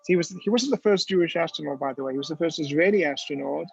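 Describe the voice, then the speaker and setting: A man's voice: a little high, very fast, vocal fry, slightly rough. A man giving a storytelling lecture with slides, talking conversationally with many ums and restarts, in a dull, dry lo-fi recording.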